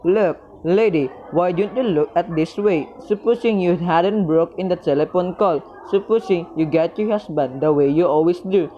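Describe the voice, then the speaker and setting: Woman as bored police desk officer, radio drama; low mellow voice, patronizing, faint background noise. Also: moderate pace, few pauses, highs cut off, natural voice. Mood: dismissive, patronizing. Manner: matter-of-fact, patronizing. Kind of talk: radio play acting.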